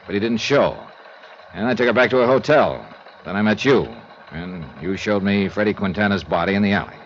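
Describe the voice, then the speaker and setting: A man acting a low-voiced hardboiled radio investigator. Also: fairly quick, a little hiss or hum, close to an everyday voice. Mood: brisk, wry. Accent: American. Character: hardboiled, sympathetic male insurance investigator in noir radio. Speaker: lead detective and narrator. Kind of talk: 1950s American radio drama acting. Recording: dull vintage radio recording.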